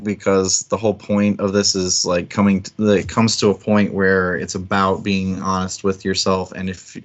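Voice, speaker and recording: Lowish guy chatting about books on a stream, blunt and sweary, muffled lo-fi audio. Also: little pitch movement, moderate pace. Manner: frank, casual swearing. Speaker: book club stream co-host. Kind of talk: casual book discussion.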